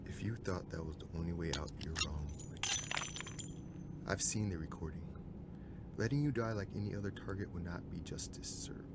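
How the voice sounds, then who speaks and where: Low male voice, stern authoritarian father, cold, formal, commanding, audio drama, noticeable background noise. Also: moderate pace. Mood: grim, controlled. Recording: clear ambient noise behind voice.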